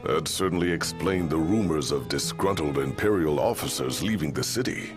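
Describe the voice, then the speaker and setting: An actor playing a shrewd, courteous older man in a game cutscene, with measured, formal, wry phrasing. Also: very deep voice, over music. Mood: candid, wry. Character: shrewd, gravel-voiced older local man, courteous and knowing. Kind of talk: video game cutscene acting.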